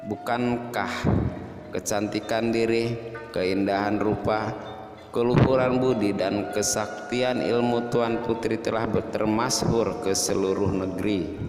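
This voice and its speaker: A man voicing a humble, honest, torn young prince in a folktale drama, with deferential, flowery courtly speech. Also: on the low side. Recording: echoey long reverb, music behind.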